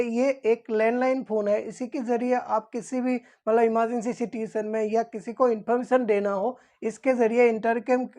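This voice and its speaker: A woman's voice: a little high, warm tone. A woman explaining things as a teacher, repeating key terms as if dictating them. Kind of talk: explanatory lesson for security guards.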